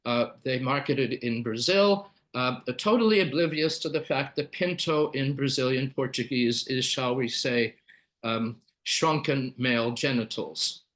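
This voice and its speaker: A man's voice: medium pitch, unhurried, a bit bright. Man presenting a webinar, explanatory delivery with fillers and self-corrections. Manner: explanatory, many fillers and restarts. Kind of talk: live webinar talk.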